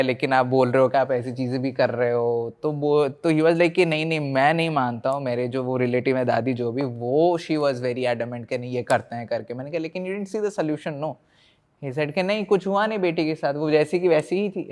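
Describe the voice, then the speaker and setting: A man's voice: a little high, moderate pace. An Indian man, a paranormal investigator, telling stories conversationally as a podcast guest, mixing Hindi and English and acting out reported dialogue.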